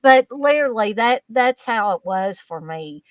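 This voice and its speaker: A woman's voice: fairly high pitch, animated, unhurried, no room sound. A woman with a Kentucky accent, telling a story as a podcast guest in an earnest, effusive way, often repeating herself. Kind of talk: casual storytelling chat.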